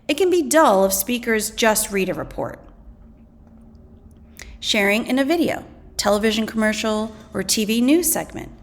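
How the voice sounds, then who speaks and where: A woman teacher reading a scripted lesson aloud, deliberately and clearly enunciated, pausing between items. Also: fairly high pitch, animated. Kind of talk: scripted educational read-aloud.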